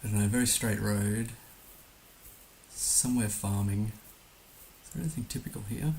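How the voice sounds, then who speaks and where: A hoarse man musing hesitantly aloud as he gives casual live commentary on a game he is streaming. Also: on the low side, moderate pace, a little mellow, low-quality recording. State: hoarse, recovering from being under the weather. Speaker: livestream host playing a geography guessing game. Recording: noticeable background hiss.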